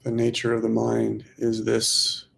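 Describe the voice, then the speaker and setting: A man leading a guided meditation as a teacher, speaking slowly and softly in short phrases with long pauses. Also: medium pitch, monotone, creaky. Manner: slow, hushed, long pauses between phrases.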